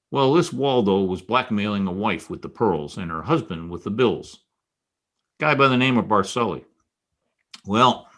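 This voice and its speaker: A man plays a hard-boiled private eye in a radio detective drama. His voice sits fairly low, with a wry, knowing delivery and a dogged streak. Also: fairly bright tone, heavily acted. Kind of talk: old-time radio detective drama re-creation.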